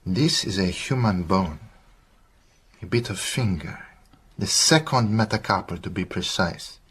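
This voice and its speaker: An actor playing a genial, cautious doctor in a radio drama, speaking in a courteous, measured way with a Southern African accent. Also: male voice, deep voice, expressive, a bit bright, husky, full character voice. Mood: thoughtful, precise. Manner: courteous, measured, precise phrasing.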